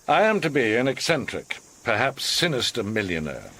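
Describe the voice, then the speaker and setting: An actor playing a manipulative, eccentric older man in a radio drama, measured, formal and theatrically commanding. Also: medium pitch, wildly swooping pitch, sharp tone, exaggerated voice. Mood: measured, knowing. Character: manipulative, eccentric, authoritative older male host, a self-styled psychiatrist.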